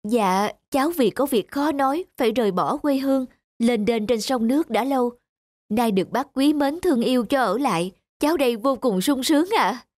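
A woman's voice: on the high side, lively intonation, normal speed, darkish tone, no echo, clean studio sound. A woman with a Southern Vietnamese accent voicing a devoted young husband, tender and earnest, in a cartoon folk tale. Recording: music behind the voice.

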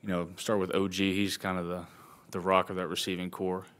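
A man's voice: deep voice, completely flat delivery, a little fast, a bit bright. A man in his twenties, a college football quarterback, answering questions at a postgame press conference. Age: 20s. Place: press conference table indoors.

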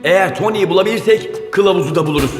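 A man's voice: high-pitched, fast talker, music in the background, natural voice. A man playing an earnest, eager young footballer hero in a cartoon, speaking expressively. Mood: determined. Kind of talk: cartoon dubbing.

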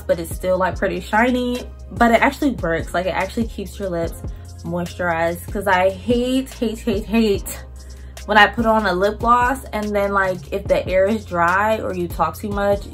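A young woman talks straight to camera. Her chat is loose, slangy and blunt, with swearing. Her voice sits at a middling pitch, with faint music underneath. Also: fairly bright tone. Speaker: vlogger.

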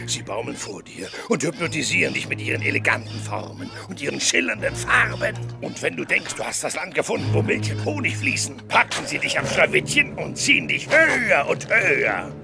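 A man playing a blustering old cartoon crab boss, gravelly and theatrically ominous, like a storytelling seafarer. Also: on the high side, very animated, fairly quick, hardly any pauses, over music, exaggerated voice. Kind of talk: cartoon audio play acting.

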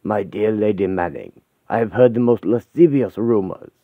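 A man playing a lecherous ancient French marquis, suave and grandiose, savouring every word. His voice sits low and dark. The sound is tinny and thin. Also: moderate pace, no echo. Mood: suave, relishing.

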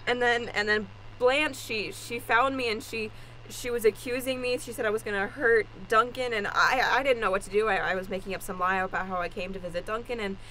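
A woman's voice: on the high side, steady tone, a little fast, bright, forceful tone. Frazzled, stammering young woman fugitive played by an actress, noisy recording. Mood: agitated, rambling. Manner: stammering, breathless rambling. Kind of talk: improvised old-time radio play acting.

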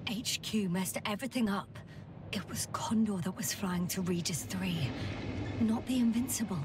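Actress for female game scientist: resolute, measured, pragmatic, distant mic, loud engine rumble behind. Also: close to an everyday voice. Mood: concerned, purposeful. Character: determined, pragmatic woman scientist on a planetary mission. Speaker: crew scientist, a doctor, in a sci-fi game. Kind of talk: naturalistic video game dialogue.

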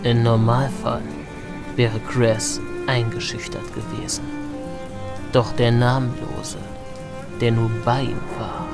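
A man's voice: mid-range voice, flat delivery, takes it slowly. A man giving dramatic, scripted narration for an audio drama. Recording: loud music under the voice.

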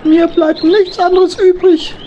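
A very high-pitched little hedgehog. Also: female voice, steady tone, unhurried, mellow, highs cut off, a little hiss or hum. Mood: dejected. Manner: earnest, politely formal. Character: small, naive, trusting male hedgehog wanderer. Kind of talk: children's audio drama acting.

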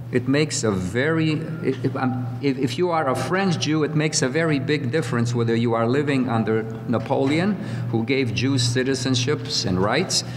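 Reverby hall recording w/ hum, old guy giving a panel talk, kinda halting and careful. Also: mid-range voice. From Central Europe, later Chile. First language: Hungarian. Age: 70s. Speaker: panel speaker at a literary conference. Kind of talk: prepared autobiographical talk with asides. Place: college hall, at a panel table. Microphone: table microphone on a stand. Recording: echoey hall with loud hum.

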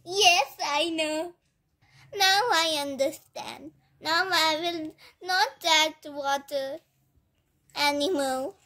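A woman's voice: high voice, slow, sharp tone, full character voice. A woman voicing a greedy young male milkman who talks to himself as he schemes. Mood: chatty. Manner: chatty, talking to himself.

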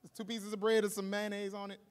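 A man's voice: high voice, monotone, fast. A man in his thirties preaching as a pastor to a congregation, conversational and emphatic with humorous asides, on a handheld microphone. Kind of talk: animated sermon to a congregation. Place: large stage in an auditorium. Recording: reverberant hall PA sound.

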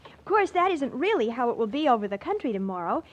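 An American woman gives instructive tips in a 1940s radio commercial. Her chirpy voice is high and very animated, on a dull old recording. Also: fast talker. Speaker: radio ad spokeswoman. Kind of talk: 1940s radio advertisement, scripted dialogue. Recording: muffled old radio broadcast.

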